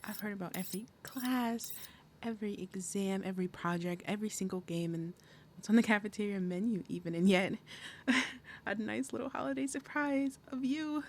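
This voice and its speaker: A woman voicing a big, possessive demon mother in an ASMR roleplay, falsely sweet and threatening, speaking deliberately and dropping into breathy murmurs. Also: mid-range voice, a little hiss or hum, natural voice. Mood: falsely sweet, probing. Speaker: roleplay audio performer. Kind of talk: ASMR roleplay, acted monologue.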